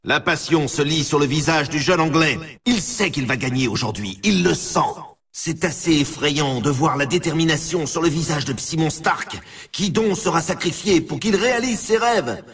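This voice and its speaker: An excitable video game sports announcer, pitched fairly high and very animated. He delivers scripted commentary with theatrical grandeur, hyping the competition in sweeping rises and falls. The voice has a husky rasp to it. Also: moderate pace, far from the mic, full character voice. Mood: excited, intrigued. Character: excitable, grandiose male snowboard championship announcer.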